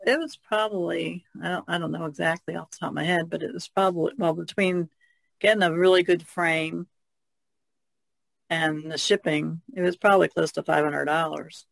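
A woman chats casually as a guest on a podcast. There is a noticeable fry in her voice, and the recording is dry, without room sound. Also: fairly low pitch, steady tone, normal speed, no echo. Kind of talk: casual chat.

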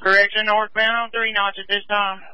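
A man's voice: sits high, flat delivery, normal speed, clean tone, dull audio. A male police dispatcher relaying pursuit updates in short radio calls.

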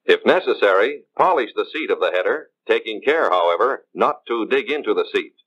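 An American man reading scripted instructional narration in a measured, formal way, as in a 1950s training film. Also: fairly low pitch, lively intonation, fairly bright tone. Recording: dull 1950s film soundtrack.

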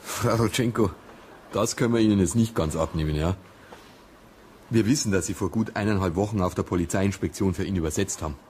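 An actor playing a dry, persistent police detective with a Bavarian accent and a colloquial, matter-of-fact manner. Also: male voice, sits low, brisk, a little mellow, a little hiss or hum, natural voice. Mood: probing.

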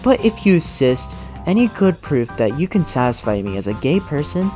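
Girl doing a exasperated anime school boy, pitch all over, music under it, parody dub. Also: very animated, moderate pace, dark tone.

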